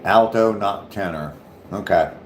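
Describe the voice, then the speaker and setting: Deep-voiced southern Ohio man, easygoing. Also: unhurried, roomy sound, slight background noise. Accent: southern Ohio, slight Appalachian touch. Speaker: livestream host. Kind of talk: casual livestream chat reading comments.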